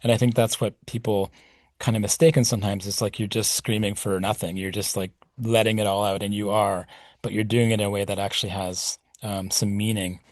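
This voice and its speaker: A man's voice: fairly low pitch, flat delivery, a little fast, a little mellow, dry recording, studio quality. A middle-aged man speaking as a podcast guest, reflective and rambling with plenty of fillers. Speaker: podcast guest, punk musician. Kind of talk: casual interview talk.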